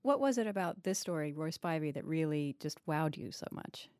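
A woman's voice: low-pitched, animated, normal speed, darkish tone, dry recording, clean studio sound. A woman hosting a literary podcast, composed and articulate in scripted introductions and interview questions. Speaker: podcast host.

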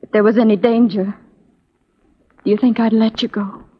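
A woman's voice: a little high, little pitch movement, moderate pace, breathy edge. A woman playing an earnest, torn young spy in a 1940s radio drama, in an intense, melodramatic manner. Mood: guarded, earnest. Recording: muffled 1940s radio recording.

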